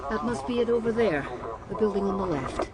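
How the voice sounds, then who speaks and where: A woman's voice: medium pitch, lively intonation, a little fast, natural voice. An actress playing an efficient policewoman in a radio crime drama. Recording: clear vehicle noise.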